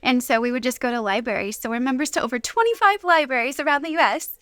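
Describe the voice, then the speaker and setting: A woman's voice: high voice, quick pace, fairly bright tone, no room sound, clean studio sound. A woman chatting casually as an interview guest, telling stories in an informal way. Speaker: interview guest, homeschooling mother. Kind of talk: casual storytelling chat.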